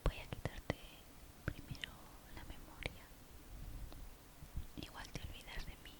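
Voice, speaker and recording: Woman doing asmr roleplay, all breathy whisper, soothing and careful, talking right to you. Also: measured pace. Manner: soothing, careful, reassuring, with diminutives. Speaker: ASMR creator role-playing a robot repairer. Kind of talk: whispered ASMR role-play addressed to the listener.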